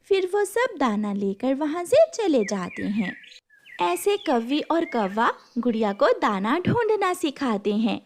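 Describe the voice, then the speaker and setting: Woman telling a cartoon story, slow pace, lively high voice, faint music. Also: a little hiss or hum. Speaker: narrator. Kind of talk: scripted cartoon story narration.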